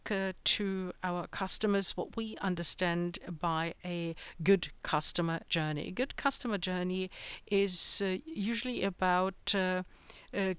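A middle-aged man with an Austrian accent gives a conference presentation in English on a large indoor stage. He speaks haltingly, often searching for words. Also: high voice, a bit bright, clean tone, no room sound. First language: German. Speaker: company product executive presenting at a conference.

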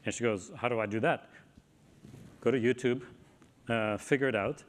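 A man's voice: mid-range voice, fairly even intonation, moderate pace. A man speaking as a panelist on a stage through a lapel mic, telling a story conversationally. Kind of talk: panel discussion. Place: stage in front of a backdrop.

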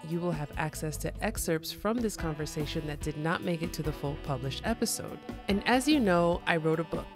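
A woman from New York City hosting a podcast, reading her intro and then interviewing her guest with upbeat enthusiasm. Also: low voice. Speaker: podcast host.

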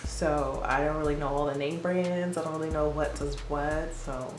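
A young woman in her twenties with a deep, mumbling voice, chatting casually while doing her makeup. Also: fairly even intonation, normal speed, slight background noise. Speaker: guest vlogger. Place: small indoor room. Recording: roomy sound with music behind.

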